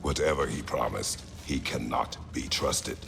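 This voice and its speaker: Extremely deep, gravelly stoic warrior father. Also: measured pace, a bit bright, big-room sound, slight background noise, full character voice. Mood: suspicious, grave. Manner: terse, formal, no contractions, grave. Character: stern, stoic, protective warrior father, huge and battle-worn. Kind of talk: dramatic video game cutscene acting.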